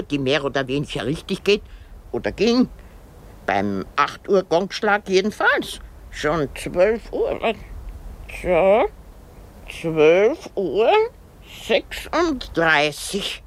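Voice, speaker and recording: A woman's voice: low-pitched, very animated, slow pace, heavily acted. An actress playing a frail, complaining old woman in a German radio drama, fussy and theatrically lamenting, wry and full of self-pity. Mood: wry, musing. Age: older.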